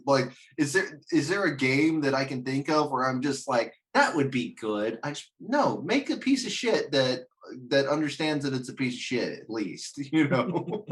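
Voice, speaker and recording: Guy on a podcast panel, mid-pitched, rambles and swears, pokes fun at himself. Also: lively intonation, normal speed. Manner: rambling, sweary, self-mocking. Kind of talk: casual chat about games and films.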